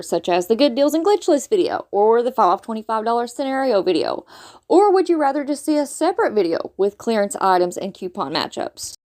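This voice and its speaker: A woman hosting a couponing vlog, her fairly high voice a little rough from a sore throat. She talks to viewers in an upbeat, chatty way, calling them "y'all" and "guys.". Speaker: couponing channel host. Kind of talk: casual vlog talk to viewers.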